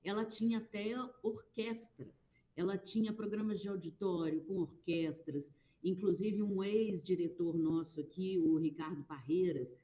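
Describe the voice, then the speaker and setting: Brazilian woman guest, mellow voice, muffled lo-fi sound, eager storyteller. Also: lowish voice, measured pace. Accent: Brazilian Portuguese. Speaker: guest, radio station worker. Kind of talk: conversational interview answer.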